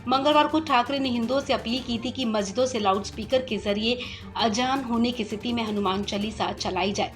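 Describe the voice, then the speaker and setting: A woman's voice: a little high, a little fast, soft background music. A woman presenting the news in a scripted narration style.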